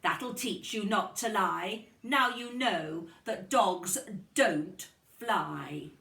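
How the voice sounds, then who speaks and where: A woman's voice: medium pitch, very slow, vocal fry, bright, forceful tone, exaggerated voice. A woman voicing a taunting young male schoolmate dog in a storybook, with a scornful, challenging manner. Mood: mocking. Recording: roomy, distant microphone.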